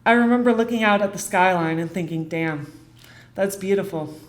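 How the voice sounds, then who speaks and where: A young American woman reading a rehearsed personal essay on stage, in an earnest, deliberate delivery with short phrases and dramatic pauses. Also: medium pitch. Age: young adult. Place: theater stage.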